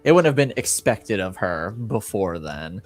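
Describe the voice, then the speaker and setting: A man with a fairly low voice runs a tabletop game as game master. He narrates off the cuff at a slow pace, pausing and searching for his words. Faint music plays underneath. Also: very animated.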